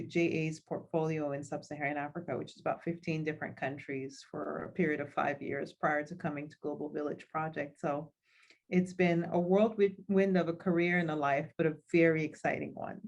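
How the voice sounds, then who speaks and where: Lower-voiced woman on a video call. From Cameroon. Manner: thoughtful, frequent ums, warm laughs. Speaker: guest, nonprofit school CEO. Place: home office with chalkboard behind. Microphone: video call laptop mic.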